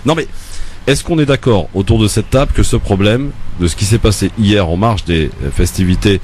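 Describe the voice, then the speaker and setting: A man hosting a radio phone-in debate, moderating the table and the callers in a lively way. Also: fairly low pitch, a little fast, a little hiss or hum. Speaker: radio talk show host.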